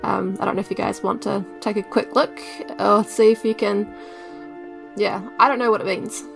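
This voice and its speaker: Creaky-voiced New Zealand woman, tabletop player. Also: mid-range voice, steady tone, moderate pace, faint music behind. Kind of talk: casual in-game roleplay chat.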